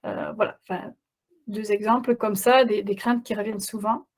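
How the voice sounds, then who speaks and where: A woman's voice: mid-range voice, steady tone, normal speed. A woman who is not a native French speaker, a parenting coach giving a webinar presentation, talking hesitantly with many fillers and restarts.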